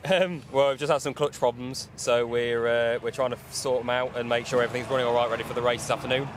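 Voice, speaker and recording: A man's voice: mid-range voice, fairly even intonation, fast, slight background noise. A man in his twenties, a racing driver, chatting casually into a handheld microphone in a paddock. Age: 20s. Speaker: racing driver being interviewed. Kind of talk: casual interview chat. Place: outdoor paddock by a team awning. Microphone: handheld interview microphone.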